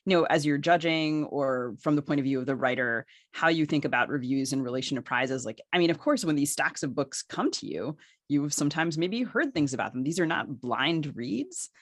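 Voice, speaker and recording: A woman's voice: low voice, animated, normal speed, dry recording, clean studio sound. A woman co-hosting a podcast, framing long, careful questions for her guests. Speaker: podcast co-host.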